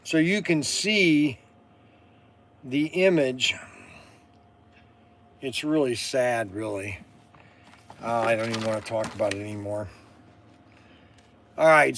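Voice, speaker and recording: A man in his sixties hosting a casual, rambling livestream, halting and often repeating himself as he stumbles over words. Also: on the high side. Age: 60s. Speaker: livestream host selling hobby toys and model cars.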